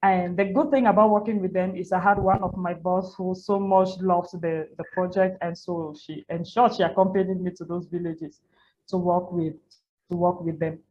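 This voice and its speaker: A woman's voice: on the low side, steady tone, normal speed, darkish tone. A Cameroonian woman in her thirties telling her personal story as an interview guest, candid and repeating phrases for emphasis. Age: 30s. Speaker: interview guest, NGO founder and women's activist. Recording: muffled lo-fi audio with audible background noise.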